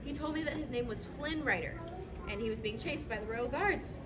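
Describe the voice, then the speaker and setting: A woman playing a cheerful young storybook princess, telling her adventures to children in a gentle, chatty way and asking them questions. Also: on the high side, brisk, a loud crowd, lightly acted. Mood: eager, dreamy. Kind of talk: live interactive storytelling for children. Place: busy hotel restaurant, recorded from the audience. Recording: distant phone-camera sound in noisy restaurant.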